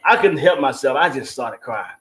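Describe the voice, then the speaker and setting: A man's voice: medium pitch, expressive, moderate pace, a bit bright. A man, a stage play producer appearing as a guest, giving an informal, emphatic, faith-filled testimony. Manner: informal, emphatic, devout, punctuated with 'you know what I'm saying'. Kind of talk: testimonial talk on an online show.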